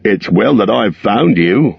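A man's voice: fairly low pitch, lively intonation, unhurried, gravelly, slightly rough, dry recording, full character voice. A man voicing an earnest grown male rescuer who speaks with urgency. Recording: dull lo-fi recording.

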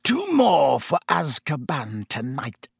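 A man's voice: on the high side, very animated, measured pace, slightly rough, dry recording, clean studio sound, voice pushed up, exaggerated voice. A man voicing a sneering, vengeful schoolteacher with a silky, menacing, contemptuous manner in an audiobook. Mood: gloating. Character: sneering, triumphant, fanatical male schoolteacher bent on vengeance.

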